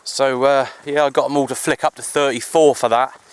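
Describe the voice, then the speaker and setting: A man's voice: medium pitch, steady tone, a little fast, clear, resonant tone. A man chatting casually and in a rambling, easygoing way for an outdoor hobby vlog, recorded on a handheld camera in the countryside. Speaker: amateur CB radio hobbyist vlogger.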